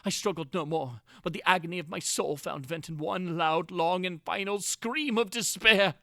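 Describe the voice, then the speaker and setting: A man's voice: high voice, normal speed, vocal fry, no echo, clean studio sound. A man narrating gothic horror as a dramatic reading, with an intense theatrical delivery. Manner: theatrical, intense delivery with big swings from hushed to crying out.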